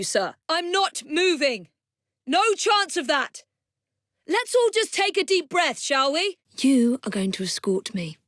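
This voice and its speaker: Woman acting as young Victorian assassin heroine, English accent, slightly high voice, composed, determined, commanding, video game dialogue, close dry sound. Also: no room sound, natural voice. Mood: cool, discreet, then alarmed, placating, then assertive, investigating. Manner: crisp, composed, politely commanding.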